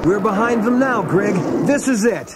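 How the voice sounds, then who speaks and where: A man's voice: high-pitched, moderate pace, close to an everyday voice. A man playing a plucky, earnest teenage boy pilot in a storybook audio drama. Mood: urgent. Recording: loud music under the voice.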